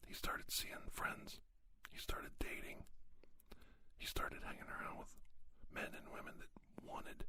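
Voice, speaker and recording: A man whispering an ASMR monologue, giving folksy, plain-spoken advice and anecdotes with unhurried, emphatic pauses. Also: very high-pitched, pushed and bright. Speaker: ASMR podcast host.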